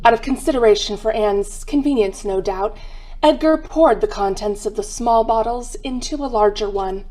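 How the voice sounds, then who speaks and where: Highish-voiced woman narrating a mystery. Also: normal speed, darkish tone. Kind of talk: dramatic scripted narration.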